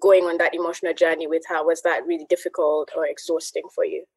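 A woman with a husky, slightly low voice asks gentle, curious questions. She sounds interested and warm toward the speaker. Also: flat delivery, fast. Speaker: audience member asking a question.

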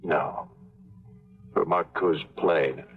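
An actor playing a cynical, wisecracking American adventurer who also narrates, with a breezy hard-boiled delivery, on a 1950s radio drama. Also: male voice, sits low, fairly even intonation, drawn-out words, creaky, breathy edge, exaggerated voice. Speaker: narrator of the story. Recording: muffled 1950s radio broadcast with music.

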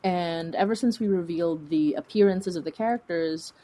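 A woman with a distinctly low-pitched voice speaks as a guest in a relaxed interview. She is chatty and informal, working out her thoughts aloud as she talks. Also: normal speed. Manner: chatty, informal, laughs while talking, thinks aloud. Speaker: interview guest, fiction podcast creator. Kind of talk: casual conversational interview.

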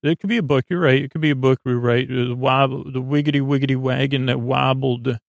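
Rambling man with a gravelly, creaky voice. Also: mid-range voice, steady tone, no echo. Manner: meandering, halting, trails off into tangents. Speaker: podcast host. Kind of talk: rambling bedtime podcast monologue.

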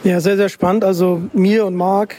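A man's voice: sits high, level tone, moderate pace, dark tone. A man working as an interviewer, speaking casually to guests. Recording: faint background noise.

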